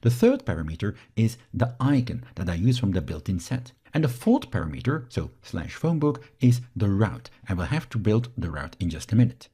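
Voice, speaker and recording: Belgian guy, native dutch speaker, walking thru a coding tutorial step by step, mellow and a bit husky. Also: lowish voice, huge pitch swings, normal speed, few pauses. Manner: explanatory, talks through each step. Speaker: software instructor.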